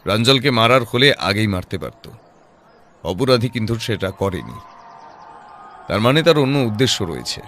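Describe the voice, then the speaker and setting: A man playing a composed, sharp-witted private detective in an audio drama, reasoning in a measured voice with rhetorical questions. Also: lowish voice, big pitch swings, a little hiss or hum. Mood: analytical. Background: background music.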